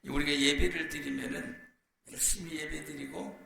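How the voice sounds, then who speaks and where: A man preaching a church sermon as a pastor, hoarse from a cold, in a deliberate, explanatory way with pauses. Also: on the high side, steady tone, pushed and bright. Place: church, speaking to a congregation. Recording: echoey room with faint background noise.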